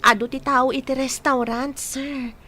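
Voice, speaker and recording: A woman's voice: high voice, level tone, unhurried, background noise. A woman playing a poor squatter woman in a radio-style drama, polite and deferential yet guarded, asking questions.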